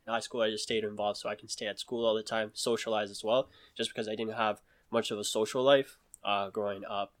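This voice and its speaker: A man's voice: on the low side, little pitch movement, a little fast. A young man telling stories from his youth in a casual podcast chat, laid back, full of slang and laughing through his stories.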